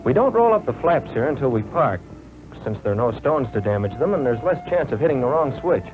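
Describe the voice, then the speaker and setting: An actor playing an earnest young American military pilot, recounting his flight training in measured, folksy 1940s training film narration. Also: mid-range voice, sing-song, music in the background, lightly acted. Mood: plain, assured. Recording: tinny, noisy old film soundtrack.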